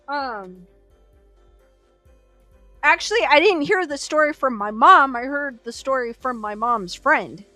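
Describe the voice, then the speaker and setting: Bright high-voiced woman chatting live. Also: no echo. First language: Korean as early childhood language. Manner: chatty, laughs often. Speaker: livestream host. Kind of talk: casual chat reading live comments.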